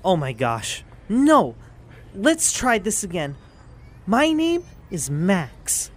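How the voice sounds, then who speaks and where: A woman playing an eager, plucky young boy with an excitable, earnest manner in a cartoon audio drama. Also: medium pitch, takes it slowly, heavily acted. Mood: sheepish.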